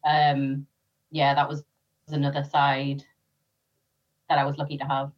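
A woman's voice: a bass voice, monotone, unhurried, highs cut off. A woman with a North East English accent, born in Sri Lanka, chatting as a podcast guest with many ums and easy laughs. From Sri Lanka, raised in North East England. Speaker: interview guest, former police officer. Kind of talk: casual interview chat.

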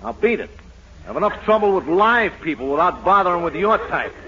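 A stubborn city records clerk wisecracks in a high voice, brusque and dismissive. The 1940s radio comedy recording is muffled, with a light hiss. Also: male voice, sing-song, fairly quick, bright, forceful tone, full character voice. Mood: impatient, dismissive.

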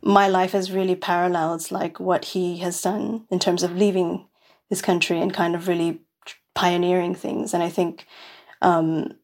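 A woman's voice: fairly low pitch, little pitch movement, moderate pace, a little mellow. A woman from New York City, a podcast guest, talking in a rambling casual way with many fillers and restarts. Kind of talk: casual interview chat.

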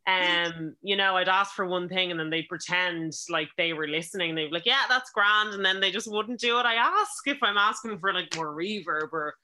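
A woman's voice: on the low side, normal speed, few pauses. A woman, chatting casually as a podcast guest, laughing often and acting out what others said. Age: 20s. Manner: chatty, laughs often, quotes people in lively voices. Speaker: musician and producer.

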